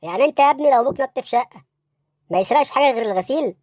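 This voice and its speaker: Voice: high voice, lively intonation, very fast, a little mellow, no room sound, clean studio sound. A performer voicing a baffled, bossy police interrogator in an Egyptian comedy cartoon, brusque and insistent, with an Egyptian accent. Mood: incredulous.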